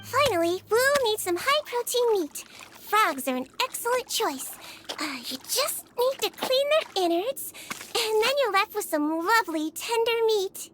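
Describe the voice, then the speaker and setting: A sweet little nurse. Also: female voice, sing-song, measured pace, noisy background, exaggerated voice. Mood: gentle. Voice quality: soft, high and sweet. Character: small gentle girlish nurse, caring and earnest. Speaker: head nurse. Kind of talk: video game voice acting. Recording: game dialogue with clear background music.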